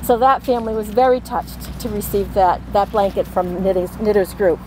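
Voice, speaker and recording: A woman hosting an outdoor award ceremony from a podium, speaking warmly with frequent ums. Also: medium pitch, moderate pace, background noise. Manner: warm, with frequent "um" fillers. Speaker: senior center organizer hosting a ceremony. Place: outdoors at a podium in front of a brick building. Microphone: podium mic.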